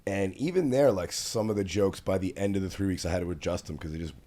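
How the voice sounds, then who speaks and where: A man's voice: low voice, fast talker, warm tone. A young man hosting a podcast, joking and teasing in casual chat. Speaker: podcast host.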